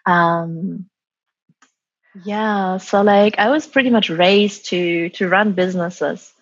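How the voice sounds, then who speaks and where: Breathy woman guest, slightly low voice, reflective, lotta "like"s, lo-fi dry audio. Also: steady tone, slow, dry recording. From Germany and Taiwan. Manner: reflective, many "like" and "um" fillers. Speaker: guest, former business consultant. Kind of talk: reflective interview answers.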